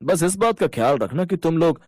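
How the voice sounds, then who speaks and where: A man's voice: a little high, animated, fairly quick, darkish tone, no echo, clean studio sound. Man playing an earnest young married office worker. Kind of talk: cartoon story dialogue.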